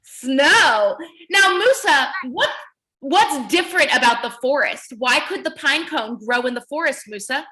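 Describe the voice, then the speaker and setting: Female early-years teacher, high and very lively pitch, animated read-aloud style, encouraging. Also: a little slow, low-quality recording. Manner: animated, encouraging praise and questions; repeats children's answers back. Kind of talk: interactive children's read-aloud lesson.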